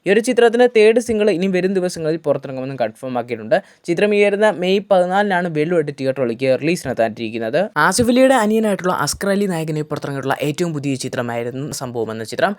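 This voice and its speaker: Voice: medium pitch, very animated, quick pace, few pauses, darkish tone. A presenter narrating movie updates for an online video, mixing English words into the speech.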